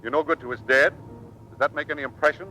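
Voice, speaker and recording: An actor playing a stern but fair Navy squadron commander, clipped and authoritative, in old radio drama. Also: male voice, fairly high pitch, quick pace, sharp tone. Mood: stern, scolding. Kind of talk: 1940s radio acting. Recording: faintly noisy vintage radio recording.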